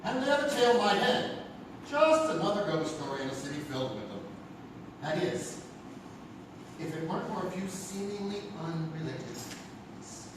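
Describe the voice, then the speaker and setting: Male ghost-story narrator: theatrical, high, widely swinging pitch, heavy stage echo. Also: sing-song, moderate pace, pauses often. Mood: suspenseful. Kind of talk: dramatic scripted narration, live radio theatre. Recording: echoey, noisy live theatre from far off.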